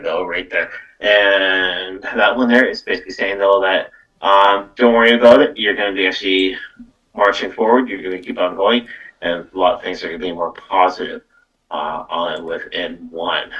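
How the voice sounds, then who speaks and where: A man's voice: sits low, pushed and bright. A man hosting a live psychic card reading in casual, rambling talk, often repeating words and tagging phrases with "though". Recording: distant from the microphone.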